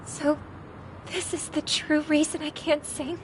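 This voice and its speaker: An actress playing a gentle young female singer who speaks softly and haltingly. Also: sits high, little pitch movement, a little hiss or hum, low-quality recording. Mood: stunned. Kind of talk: video game voice acting. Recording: game cutscene with music. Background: orchestral music.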